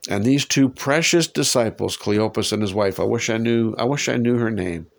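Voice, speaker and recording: Guy with a head cold chatting thru a bible story, lowish voice, stuffy, asks you stuff. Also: normal speed, gravelly. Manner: warm, musing, asks listeners rhetorical questions. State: congested, lingering cough. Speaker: radio Bible teacher. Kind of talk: conversational Bible teaching and prayer.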